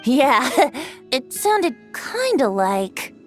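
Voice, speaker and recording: A woman's voice: sits high, sing-song, drawn-out words, faint music behind, heavily acted. A woman voicing a youthful, boyish male bard in an anime game, playful and theatrical, with a flowery storyteller's manner. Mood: amused storytelling.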